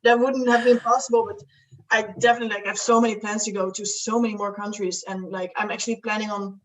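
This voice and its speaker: A woman's voice: mid-range voice, level tone, fast, distant mic, rough recording. Rambling young Dutch woman. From Netherlands. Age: young adult. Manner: rambling, many fillers and restarts, laughs often. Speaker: guest. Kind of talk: casual chat.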